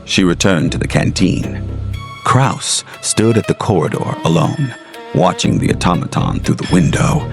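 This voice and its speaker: A man narrating a scripted gothic tale in a grave, deliberate manner. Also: sits low, vocal fry.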